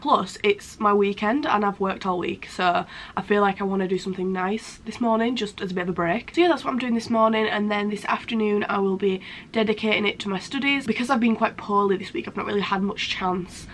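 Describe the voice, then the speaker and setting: A young woman, chatting casually to camera in her bedroom, slightly croaky from a cold and full of "like" fillers. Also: medium pitch, steady tone, brisk, a little hiss or hum. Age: young adult. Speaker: vlogger. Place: bedroom at home, indoors. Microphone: camera in front at close range.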